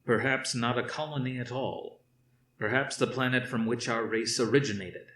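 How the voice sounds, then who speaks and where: A man reading aloud, voicing a stern older fleet commander who speaks slowly and firmly to his officers. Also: mid-range voice, fairly even intonation, fairly bright tone, roomy sound, distant mic, slight background noise, pitched above the performer's own voice, natural voice. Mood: explaining. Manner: slow, measured, commanding.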